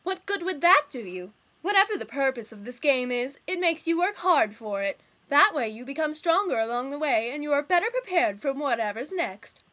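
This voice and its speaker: A woman's voice: sits high, very animated, moderate pace, bright, forceful tone, heavily acted. A woman voicing a bossy, impatient young troll girl with a cruel streak, theatrical and sarcastic, in an amateur fandub. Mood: lecturing, condescending. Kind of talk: amateur fandub audition acting.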